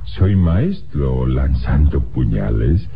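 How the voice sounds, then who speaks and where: An actor playing a sly, mocking knife-throwing villain in a classic radio drama, speaking halting, broken Spanish. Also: male voice, extremely low pitch, big pitch swings, gravelly, over music, heavily acted. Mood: sly menace. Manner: halting broken Spanish, hesitant filler sounds. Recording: muffled, noisy old radio recording.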